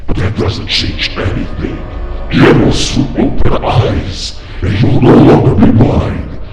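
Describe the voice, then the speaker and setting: A man playing a sinister vampire cult leader in a game roleplay, speaking in a slow, menacing, theatrical, breathy way. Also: close to an everyday voice. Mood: smug, ominous. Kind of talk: amateur video game roleplay acting. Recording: echoey hall reverb with music.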